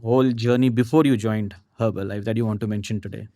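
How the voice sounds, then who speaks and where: A man's voice: on the low side, fairly even intonation, fast, no room sound, studio quality. A man hosting a podcast interview, hesitating often and affirming his guest. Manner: hesitant, many fillers, warm affirmations. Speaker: podcast host.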